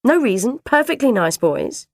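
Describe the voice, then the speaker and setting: A woman putting on a shrill, bossy dinner lady. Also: sing-song, normal speed, no room sound, studio quality. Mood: scolding. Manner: shrill, bossy, self-righteous. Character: stern scolding older female dinner lady.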